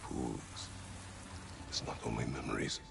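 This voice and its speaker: A man playing a gruff, brooding Spartan warrior in a video game, speaking in terse, stern phrases with a gravelly voice. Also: very deep voice, little pitch movement, a little slow, music in the background, full character voice. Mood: grim. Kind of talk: dramatic video game acting.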